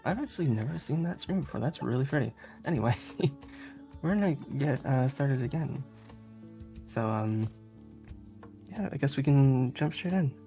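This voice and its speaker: A speedrunner talks through a game live in a casual, chatty way. The delivery is hesitant and prone to giggling. The voice sits at a middling, androgynous pitch, with game music clearly playing behind it. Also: animated, moderate pace, mellow. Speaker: speedrunner presenting a game run. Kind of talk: casual live gameplay commentary.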